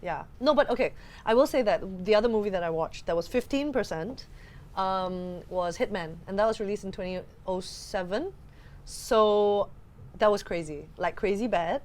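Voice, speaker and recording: A woman co-hosting a podcast, chatting casually about films and games in an animated, exclamatory way. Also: mid-range voice, moderate pace. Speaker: podcast co-host.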